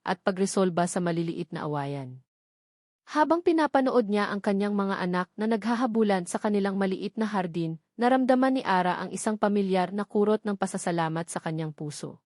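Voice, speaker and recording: A woman's voice: medium pitch, darkish tone, no room sound, clean studio sound. A woman with a Filipino accent narrating a scripted love story in a dramatic storytelling style.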